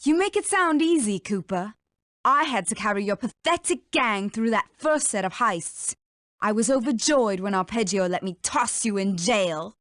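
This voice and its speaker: A woman's voice: medium pitch, lively intonation, moderate pace, a bit bright, no echo, clean studio sound, close to an everyday voice. A woman playing a haughty, treacherous cartoon animal villain in a video game, dramatic and scornful.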